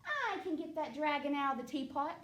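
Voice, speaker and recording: A woman voicing a young, excited little prince in a high, whiny, pleading voice, in a storybook reading. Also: fairly quick. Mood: bold. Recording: roomy distant mic, faint background noise.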